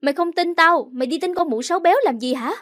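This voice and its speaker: A woman's voice: very high-pitched, quick pace, a bit bright, dry recording, studio quality, pitched above the performer's own voice. A woman doing a blustering, hot-tempered brother. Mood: defensive. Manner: defensive, blustering. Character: callous, hot-tempered older brother, young man.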